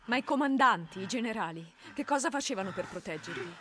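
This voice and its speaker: A woman's voice: fairly high pitch, expressive, quick pace, crowd noise, close to an everyday voice. An actress playing an earnest, disbelieving patriotic mother of a soldier, solemn in a radio drama style. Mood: incredulous. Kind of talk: theatrical radio drama acting.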